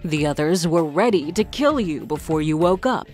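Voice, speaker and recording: A woman playing a weary, cautious, pragmatic adviser in a fantasy audio drama, speaking in a measured, insistent way. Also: low-pitched, animated, a little mellow, faint music behind. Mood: exasperated, insistent.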